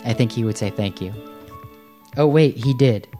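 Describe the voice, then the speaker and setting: A man's voice: mid-range voice, moderate pace, dark tone, soft background music. A man from the United States hosting a casual podcast, friendly and chatty, saying "like" a lot. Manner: friendly, chatty, uses "like" often. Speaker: host.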